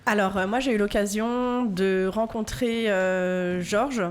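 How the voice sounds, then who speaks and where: A woman's voice: mid-range voice, steady tone, takes it slowly, smooth. A woman co-hosting a radio show and writing articles, talking casually and hesitantly as she searches for words. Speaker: podcast host and journalist. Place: radio studio.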